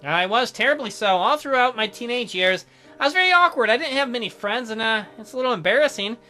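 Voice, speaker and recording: A man voicing a shy, gentle, awkward doctor in a visual novel, with a hesitant and mild manner. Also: extremely high pitch, lively intonation, quick pace, soft background music, exaggerated voice. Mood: wistful. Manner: hesitant, mild, softly apologetic.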